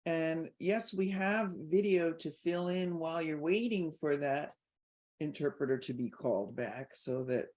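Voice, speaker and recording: A woman's voice: low-pitched, unhurried, a little mellow. A woman hosting a podcast, giving articulate, emphatic conversational commentary and posing rhetorical questions. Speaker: podcast host.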